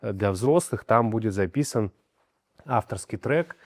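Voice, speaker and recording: Man, Abkhaz accent, interview host, friendly questions, low voice, dry sound. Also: lively intonation, a little slow, no room sound.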